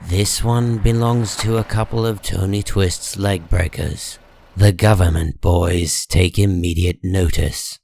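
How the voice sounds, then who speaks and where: A man narrating a scripted comic story in a measured, deliberate dramatic style. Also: sits low, steady tone, creaky, darkish tone, no room sound.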